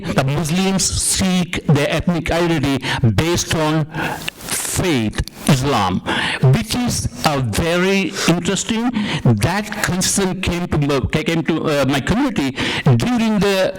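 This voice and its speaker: Tamil-first-language man from Sri Lanka, conference speaker, halting with dry humor, slightly high pitch, faint noise. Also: expressive, low-quality recording. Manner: halting, repeats words, wry asides. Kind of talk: unscripted conference talk. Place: conference room.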